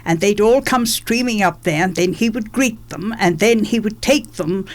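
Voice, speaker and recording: An elderly woman speaks at a middling pitch for a woman. She is reminiscing as a guest in a radio interview. She repeats phrases to press a point home. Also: moderate pace. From England, born in London. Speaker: interviewee, former politician.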